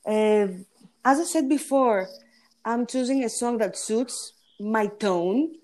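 A woman with a deep, gritty voice, a singing competition judge talking in a casual interview and pausing often on fillers. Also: a little mellow. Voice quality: deep voice with grit. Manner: hesitant, pauses with fillers.

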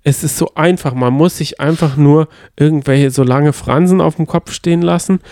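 A man's voice: a little high, moderate pace, darkish tone, dry recording, studio quality. A man co-hosting a podcast, chatting casually about reality TV in an opinionated, mildly sarcastic way. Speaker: podcast co-host. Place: hotel room.

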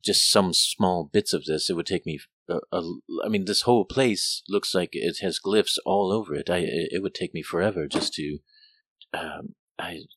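A man putting on a flustered female scholar, stammering and lost in her studies. She trails off and restarts as she thinks aloud, her voice a touch higher than his own low one. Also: moderate pace, fairly bright tone, slightly rough, no echo, clean studio sound, full character voice. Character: excitable female scholar absorbed in glyphs.

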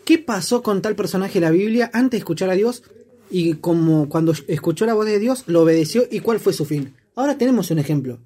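High-voiced, mellow Argentine man. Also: lively intonation, brisk, breathy edge. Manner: reflective, explanatory, asks rhetorical questions. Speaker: discussion participant. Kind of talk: casual discussion.

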